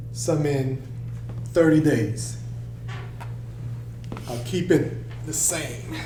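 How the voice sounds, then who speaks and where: A man reading the part of a stern but fair middle-aged father and boss, deliberate in manner, at a table read. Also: on the high side. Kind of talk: script read aloud at a table read. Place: roomy indoor table read. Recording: echoey room, faint noise.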